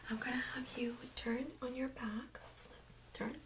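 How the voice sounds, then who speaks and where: A young woman speaking in a soft, breathy near-whisper, gently playing a medical examiner in an ASMR role-play. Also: on the high side, flat delivery, background noise. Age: young adult. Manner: gentle, unhurried, reassuring. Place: small carpeted exam room, indoors. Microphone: USB microphone on a stand nearby.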